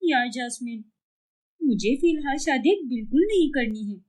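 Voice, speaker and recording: Woman acting a dramatic whiny young girl in love, cartoon story, high swoopy voice. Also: lively intonation, moderate pace, darkish tone. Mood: indignant. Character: dramatic, stubborn lovestruck young daughter. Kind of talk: cartoon moral story dialogue.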